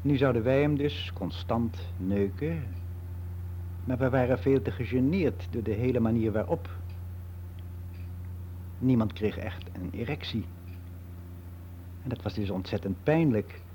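A man's voice: mid-range voice, a little slow, long pauses, mellow, slight background noise, lightly acted. An actor playing a candid, reflective older man, confiding matter-of-factly in a conversational radio drama monologue. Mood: frank, wry. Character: candid, reflective ageing man, worldly and unbelieving.

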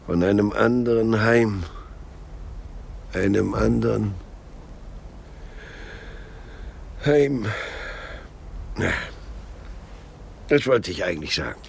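A frail old husband in a radio drama, brooding and querulous with dry, morbid wit. The delivery is slow and halting, the pitch swinging widely over a gravelly, creaking tone. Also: male voice, a little hiss or hum, heavily acted. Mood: weary, musing. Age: older. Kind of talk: theatrical German radio play acting.

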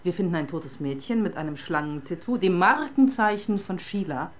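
An actress plays a persistent female police detective in a radio crime play. Her voice sits slightly low. She speaks with dry sarcasm and pointed questions. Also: normal speed, close to an everyday voice. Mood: wry, brooding. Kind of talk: German radio crime drama acting.

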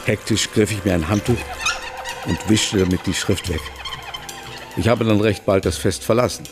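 A man plays a subdued, haunted male writer in a radio drama. His low voice is halting and reflective, with a creaky edge, and music plays clearly underneath. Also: steady tone, natural voice. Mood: troubled. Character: subdued, haunted older male writer recalling a secret love. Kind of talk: radio drama acting, dialogue and recollection.

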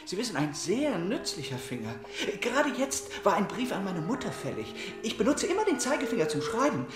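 An actor playing a timid, hesitant, meekly polite patient in a German radio play, pleading and deferential. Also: male voice, sits high, huge pitch swings, fairly quick, over music.

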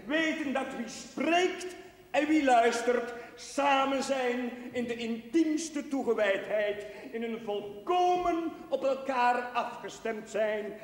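High-voiced earnest young preacher, echoing church. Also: male voice. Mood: fervent, pleading. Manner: measured, declamatory, fervent, drawn-out phrases. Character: earnest young newly arrived chaplain preaching to his parish. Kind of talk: solemn rhetorical sermon, television drama acting. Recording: echoey church, distant from the microphone.

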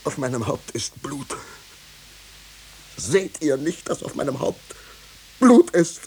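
An actor playing a tormented, guilt-ridden pastor who pleads fervently, in formal 1950s German radio-drama style. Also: male voice, on the high side, very animated, takes it slowly, darkish tone, full character voice, rough recording. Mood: bitter, impassioned. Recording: hissy 1950s radio recording.

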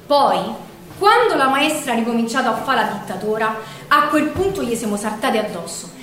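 A woman's voice: fairly high pitch, lively intonation, moderate pace, a bit bright. A woman with a Roman accent playing a feisty working-class partisan who recalls her girlhood in a colloquial, emphatic stage monologue. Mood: defiant, animated. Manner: colloquial, emphatic, dramatic storyteller. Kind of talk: theatrical first-person storytelling monologue. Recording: very echoey hall, distant mic, faint noise.

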